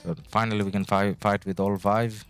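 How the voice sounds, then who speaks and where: A man from Bangladesh, a native Bengali speaker, rambles casually while streaming a strategy game and answering chat. His voice sits fairly low, with soft music playing behind him. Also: little pitch movement, brisk, clear, resonant tone. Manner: chatty, rambling, reads out chat messages. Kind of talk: casual live-stream commentary. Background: background music.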